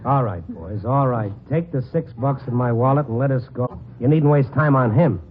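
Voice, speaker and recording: An actor playing a wisecracking, cool-headed American newspaper reporter in a 1950s radio drama, with a wry, sardonic manner. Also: male voice, mid-range voice, moderate pace, slight background noise, lightly acted. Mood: wry, exasperated. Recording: tinny 1950s radio recording.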